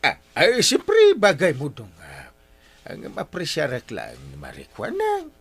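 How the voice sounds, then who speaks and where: A man's voice: on the high side, wildly swooping pitch, unhurried, vocal fry, fairly bright tone, slightly rough, heavily acted. A man with an Ilocano accent playing a flustered, henpecked older village captain, stammering and exclaiming in comic drama. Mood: nervous, pleading. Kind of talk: broad comic radio-style drama acting.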